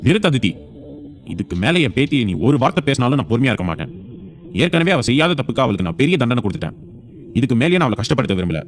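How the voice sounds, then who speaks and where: A man's voice: low voice, quick pace, pauses often, natural voice. A man voicing a stern, protective grandfather in an audio drama, emphatic and authoritative. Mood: angry. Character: stern, protective grandfather, indignant family elder. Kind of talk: audio serial drama acting.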